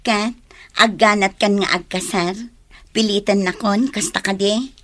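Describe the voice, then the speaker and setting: A woman's voice: medium pitch, steady tone, measured pace, no room sound, close to an everyday voice. A woman with an Ilocano accent acting a stubborn younger girlfriend in a radio drama. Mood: irritated, defiant. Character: stubborn younger girlfriend not ready to marry.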